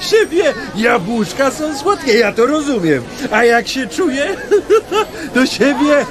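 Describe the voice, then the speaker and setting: An actor playing a tipsy gentleman in a radio drama, babbling disjointedly and repeating himself, pleased with his own dull jokes. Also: extremely high pitch, big pitch swings, slow, crowd noise, heavily acted. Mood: giggly, self-amused. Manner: repetitive, disjointed drunken babble with giggles.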